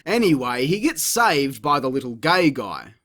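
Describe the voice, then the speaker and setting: A man's voice: medium pitch, sing-song, a little slow, very noisy. A man giving a sarcastic, exasperated, sweary comedic review as a voice-over. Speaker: video reviewer.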